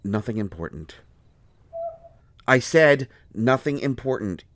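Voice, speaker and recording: A man's voice: medium pitch, expressive, slow pace, dry recording. A man acting out a harried, protective royal court doctor in an audio roleplay, defensive and exasperated with a lover. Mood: evasive, explaining. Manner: pleading, exasperated, swinging from soft explaining to flustered outbursts. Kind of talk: dramatic audio roleplay, one side of a conversation.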